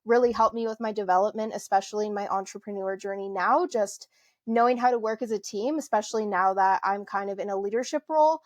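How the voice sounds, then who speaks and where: A woman's voice: mid-range voice, fast, no echo. A woman in her twenties from Canada, talking as a podcast guest in a friendly, chatty way with many fillers and light laughs. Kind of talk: casual interview conversation.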